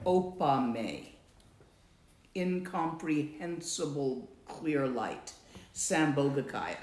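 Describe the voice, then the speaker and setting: Slow-spoken woman teaching meditation. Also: low voice, animated, a little mellow, roomy sound. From Latvian background. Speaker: Dzogchen teacher. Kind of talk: answering a student's question.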